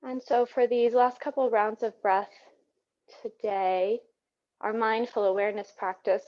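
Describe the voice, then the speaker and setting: High-voiced woman guiding yoga breathing, muffled recording. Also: steady tone. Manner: soothing, gentle, unhurried phrasing. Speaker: yoga teacher. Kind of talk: guided yoga breathing instruction. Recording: dull, muffled lo-fi sound.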